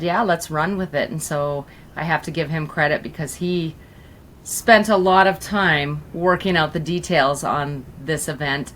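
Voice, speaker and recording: A woman's voice: sits low, fairly bright tone, a little hiss or hum. A woman from Canada, a guest musician, chatting easily and laughing often. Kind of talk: casual interview conversation.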